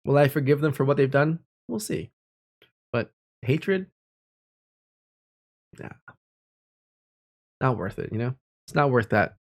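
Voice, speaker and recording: A man's voice: mid-range voice, expressive, slow pace, long pauses. A young man telling personal stories in a casual, candid and reflective way, speaking informally. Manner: candid, informal, with mild swearing.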